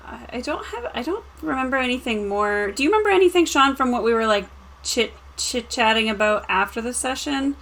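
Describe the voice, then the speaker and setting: A woman's voice: on the high side, expressive, a little fast, fairly bright tone. A woman hosting a casual chat show about tabletop games, talking informally with the others. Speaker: show host reading viewer comments.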